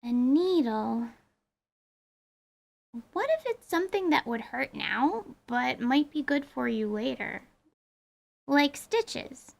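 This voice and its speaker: Curious young girl adventurer for fantasy radio theatre, played by a woman: very high, gravelly edge, blunt and colloquial. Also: lively intonation, slow, long pauses, exaggerated voice. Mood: curious, pondering. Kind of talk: dramatized tabletop role-playing audio drama.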